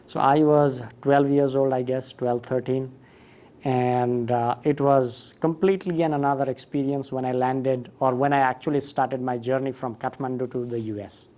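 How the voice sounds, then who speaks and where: A man's voice: medium pitch, steady tone, moderate pace, mellow. A man, a school director telling his story in a conference interview, thoughtful with fillers and restarts. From Nepal.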